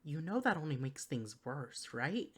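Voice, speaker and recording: A woman's voice: sits low, sing-song, measured pace, husky, full character voice. A woman playing a worried, exasperated partner in an intimate audio roleplay, speaking to the listener with earnest, caring reproach. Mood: concerned, reproachful. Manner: earnest, pleading, caring scolding.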